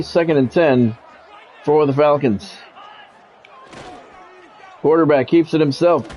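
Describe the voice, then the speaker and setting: A man calling live play-by-play of a football video game in measured, short clipped announcer phrases. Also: a little high. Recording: dull lo-fi audio with music behind.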